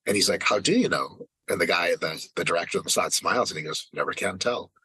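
A man's voice: low voice, expressive, quick pace, sharp tone, husky, dry recording. A man in his late thirties in casual podcast chat, ranting with emphatic, profane energy. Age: 30s. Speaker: fitness podcast regular.

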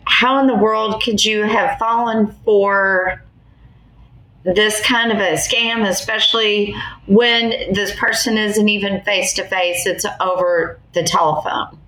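A woman with an American accent answering interview questions as an attorney, in a measured, explanatory conversational way. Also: medium pitch, steady tone, pushed and bright. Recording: echoey, roomy sound.

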